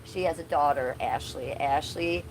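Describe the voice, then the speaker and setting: A woman's voice: low-pitched, normal speed, background noise. Chatty sick woman streaming outdoors. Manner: chatty, loose, swears casually, talks to chat viewers by name. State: sick, coughing, losing her voice. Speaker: livestreamer. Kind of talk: casual livestream chat outdoors. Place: backyard under trees, outdoors.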